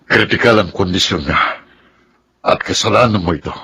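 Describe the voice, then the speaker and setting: A man's voice: low voice, moderate pace, pushed and bright, breathy edge, full character voice. An actor playing an indignant married man in a Filipino radio drama, emphatic and melodramatic, with a Filipino accent. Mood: furious, accusing. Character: indignant married man, accusing his seductive stepdaughter.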